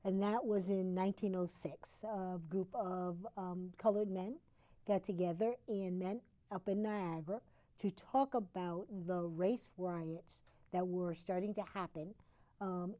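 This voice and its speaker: A woman from Connecticut, a talk show guest, explaining history in a halting way with many fillers and self-corrections. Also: mid-range voice, warm tone.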